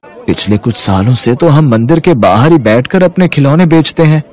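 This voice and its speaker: A man's voice: medium pitch, animated, normal speed, darkish tone, a faint crowd, close to an everyday voice. A man playing a worried, humble, poor village toy-seller down on his luck in a moral-story cartoon. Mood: uneasy.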